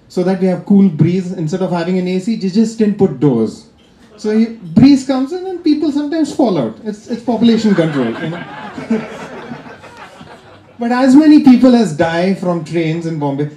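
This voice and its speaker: A man with an Indian accent works a live club crowd with dry, teasing stand-up. His voice sits fairly high but sounds mellow and dark. The room around him rings with echo. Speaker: stand-up comedian. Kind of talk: stand-up comedy set. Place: comedy club stage.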